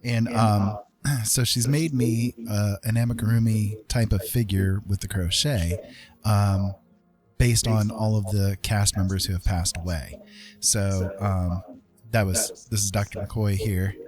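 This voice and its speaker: A man's voice: on the low side, fairly even intonation, a little slow, over music. A man hosting a livestream in casual chat, rambling and restarting his sentences as he goes. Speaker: livestream host.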